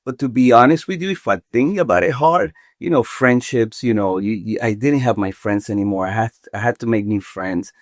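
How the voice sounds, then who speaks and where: A man's voice: mid-range voice, dry recording, studio quality. A man in his forties from Venezuela, a contractor talking as a podcast guest, thinking aloud with many restarts. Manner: halting, thoughtful, many restarts. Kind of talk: casual interview talk. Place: podcast studio.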